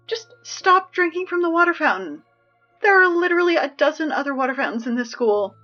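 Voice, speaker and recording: A woman's voice: high voice, sharp tone, lo-fi sound. A woman playing a flustered, exasperated teenage school announcer in an audio drama, talking in a hesitant, pleading, rambling way. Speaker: morning announcements host.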